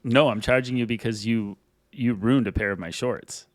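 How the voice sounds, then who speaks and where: A man's voice: medium pitch, moderate pace, no room sound. A man co-hosting a podcast in casual chat, joking and rambling. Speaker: podcast co-host.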